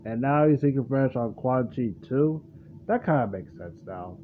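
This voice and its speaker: A man's voice: medium pitch, expressive, moderate pace, slight background noise. A man hosting a reaction video, commenting casually in a loose, rambling way and often repeating himself. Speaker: host of a reaction channel. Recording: muffled, phone-like narrow sound.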